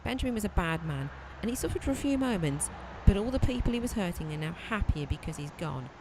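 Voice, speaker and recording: A performer playing a murderous maid in an amateur audio drama, intense and conflicted, speaking in a halting, self-justifying way. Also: medium pitch, expressive, background noise, lightly acted. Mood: cold, defiant.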